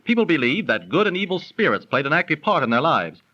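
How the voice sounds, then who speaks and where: A knowledgeable storyteller in 1930s radio style. His higher, bright voice swings expressively while he explains things in measured, declamatory phrases, on a muffled old recording. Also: sing-song, heavily acted. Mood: earnest storytelling. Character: knowledgeable dinner guest, friendly storytelling bachelor.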